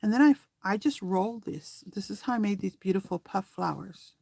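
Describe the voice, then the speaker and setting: Woman doing a diy craft tutorial, medium pitch, chatty and kinda rambly, thinks out loud, no echo. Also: moderate pace, gravelly, studio quality. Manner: rambling, conversational, thinking aloud while working. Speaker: craft tutorial host and small online shop seller. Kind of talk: casual hands-on craft tutorial chat.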